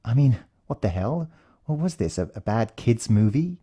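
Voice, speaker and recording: A man's voice: medium pitch, sing-song, moderate pace, warm tone, husky. A British man narrating a first-person story in a dramatic, suspenseful way. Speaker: audiobook narrator.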